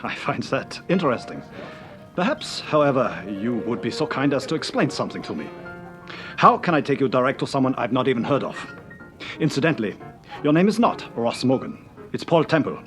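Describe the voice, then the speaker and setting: An actor playing a suave, guarded, faintly menacing man in a radio drama, speaking formally and with cool, deliberate politeness. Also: mid-range voice, big pitch swings, soft background music, close to an everyday voice. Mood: coolly knowing. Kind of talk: vintage radio drama acting.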